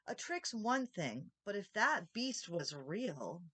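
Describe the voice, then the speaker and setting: A woman's voice: mid-range voice, sing-song, slow, sharp tone, husky, exaggerated voice. A woman voicing an earnest, stubborn young boy who questions and protests, in a storybook reading. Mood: indignant protest. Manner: insistent, protesting.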